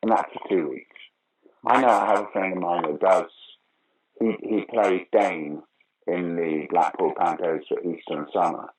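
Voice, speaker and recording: An older man with a low-pitched, rough-edged voice. He talks conversationally as an interview guest, telling anecdotes with dry wit. He states his opinions firmly and repeats phrases for emphasis. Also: normal speed, low-quality recording. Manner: wry, opinionated, emphatic repetition. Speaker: interview guest, actor, writer and director of pantomime.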